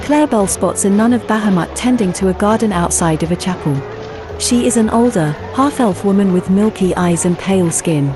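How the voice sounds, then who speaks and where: A woman's voice: mid-range voice, fairly quick. A woman reading scripted narration for a fantasy audio drama. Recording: music behind the voice.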